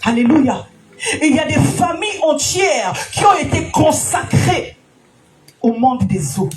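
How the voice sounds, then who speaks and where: Woman preacher, high-pitched, sing-song sermon delivery, shouted peaks, faint music behind. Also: sing-song, unhurried. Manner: frequent alleluias and direct questions to listeners. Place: church gathering.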